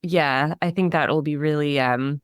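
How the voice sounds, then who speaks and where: An American woman hosts an interview with eager, rambling enthusiasm, full of "like" and quick restarts. Her voice is unusually low for a woman, and the sound is close and dry. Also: clear, resonant tone, dry recording. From United States. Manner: enthusiastic, many fillers and restarts. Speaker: podcast host. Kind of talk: casual interview.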